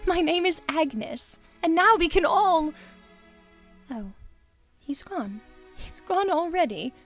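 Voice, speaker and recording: Woman acting a high-voiced, emotional wealthy widow. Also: lively intonation, slow pace, soft background music, full character voice. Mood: joyful, effusive. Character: wealthy older widow, wistful, emotional and effusive. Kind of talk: audio drama acting.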